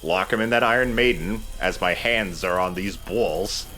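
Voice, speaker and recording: A man's voice: deep voice, normal speed, pushed and bright, a little hiss or hum. A man giving casual, joking, chatty commentary while playing a video game. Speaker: let's play host playing the game.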